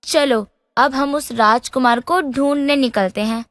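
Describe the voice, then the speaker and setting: A princess's young confidante in a fairy tale, high-voiced and caring. She coaxes and encourages, and her voice rings in a long hall reverb. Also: a little slow, fairly bright tone, studio quality. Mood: coaxing. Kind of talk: animated fairy-tale voice acting.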